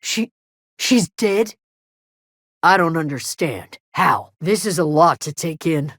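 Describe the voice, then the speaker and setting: A woman playing a gruff, guarded frontier settler who watches over a camp, terse and plain-spoken, in a video game. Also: low-pitched, lively intonation, slow, fairly bright tone, dry recording, clean studio sound, full character voice. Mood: troubled. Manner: terse, wary, plain-spoken with folksy drawn phrasing. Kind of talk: video game character dialogue.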